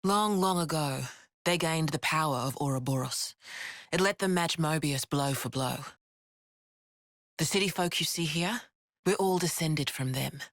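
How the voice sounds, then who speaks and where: An actress playing a frank, steady female resistance leader in a video game, with plain-spoken, measured storytelling. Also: low voice, no room sound, clean studio sound. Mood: solemn explaining. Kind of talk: video game cutscene acting. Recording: music behind the voice.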